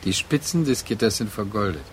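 An actor playing a brooding man haunted by a former lover, speaking in a measured, deliberate way in a radio drama. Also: fairly low pitch, lively intonation, natural voice. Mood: brooding, remembering. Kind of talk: radio drama acting, slow reflective monologue. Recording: faint background noise.

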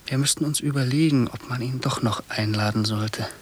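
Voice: medium pitch, a little fast, close to an everyday voice. Actor playing a hesitant calculating parent, radio drama, thinking aloud, hissy vintage recording. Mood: hesitant, pondering. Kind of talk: radio play acting.

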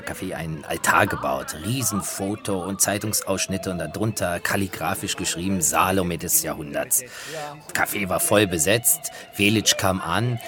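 A man presenting opera anecdotes as a music journalist, in a colloquial storytelling way. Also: low-pitched. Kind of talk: anecdotal spoken narration.

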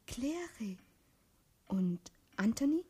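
A woman playing a young, flustered village postal assistant in a radio drama, halting and murmuring to herself. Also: a little high, very animated, dark tone, full character voice. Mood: puzzled, excited.

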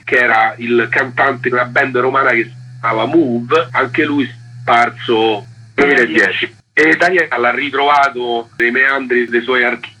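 Bright, husky-voiced man in interview. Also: mid-range voice, low-quality recording. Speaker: fanzine co-editor and interview guest. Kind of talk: informal radio interview.

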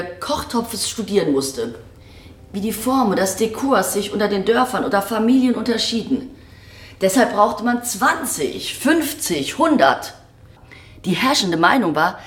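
An actress playing a blunt, disillusioned museum director in a German radio drama, speaking in a matter-of-fact, sardonic way. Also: female voice, mid-range voice, close to an everyday voice. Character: blunt, disillusioned female museum director explaining her collection. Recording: roomy, echoing archive-like acoustic.

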